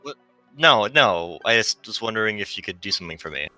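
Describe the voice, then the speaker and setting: A man voicing a curious, gentle, non-corporeal female companion in a visual novel reading. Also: lowish voice, animated, fairly quick. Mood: gentle, reassuring. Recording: music behind the voice. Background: music.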